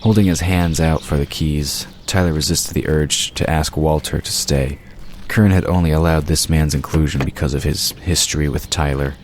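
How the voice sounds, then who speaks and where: A male narrator with an extremely deep voice reads scripted fiction. Clear noise runs behind his storytelling. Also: steady tone, normal speed.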